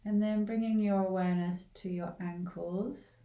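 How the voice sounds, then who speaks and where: A woman leading a guided body scan meditation in a gentle, unhurried way, leaving long pauses between short phrases. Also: medium pitch, steady tone. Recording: roomy, echoing, distant from the mic.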